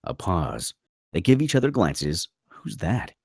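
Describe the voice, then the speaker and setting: A man's voice: deep voice, huge pitch swings, unhurried, vocal fry, a little mellow, husky, dry recording, clean studio sound. A man reading screenplay stage directions as the narrator of a table read.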